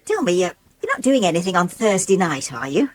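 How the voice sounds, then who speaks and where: An actress playing a kindly, brisk older English woman minding a village pub bar in a radio soap. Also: on the low side, sing-song, slight background noise. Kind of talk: 1980s radio soap acting.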